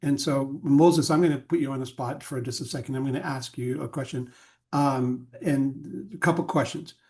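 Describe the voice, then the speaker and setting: A man's voice: mid-range voice, fairly even intonation, normal speed, darkish tone. A man, a spiritual counselor, speaking on a video call and asking questions with many repeated words. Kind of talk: casual talk on a video call.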